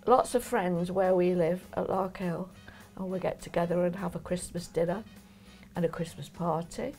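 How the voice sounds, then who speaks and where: A woman's voice: fairly low pitch, normal speed, a little mellow, soft background music. An older woman from Nottingham chatting in an encouraging, friendly way. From Nottingham, England.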